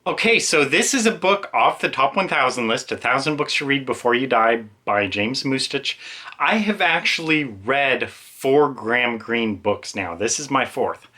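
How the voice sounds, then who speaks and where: A man's voice: medium pitch, lively intonation, a little slow, pushed and bright. A man giving an informal, rambling, self-aware book review as a reviewer talking casually on video.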